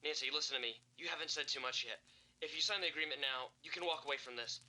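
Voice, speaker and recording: An actor playing a stern, protective park ranger with an urgent, commanding manner in a found-footage horror drama. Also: male voice, a little high, fast talker, a loud crowd, close to an everyday voice, low-quality recording. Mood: urgent, pleading.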